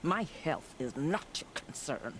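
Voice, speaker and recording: A proud, bitter war chief, noisy backdrop. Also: male voice, on the high side, sing-song, a little slow. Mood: grim, defiant. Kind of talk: dramatic video game acting.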